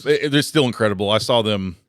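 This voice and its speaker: A man's voice: on the low side, fast talker, no room sound, clean studio sound. A man in his thirties, a guest comedian, chatting in a laid-back, rambling way and swearing freely. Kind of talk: casual chat.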